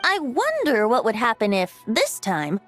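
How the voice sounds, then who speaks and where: A woman voicing a tiny, playful, childlike girl companion in an animated video game. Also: a little high, measured pace, music in the background. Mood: amused, musing.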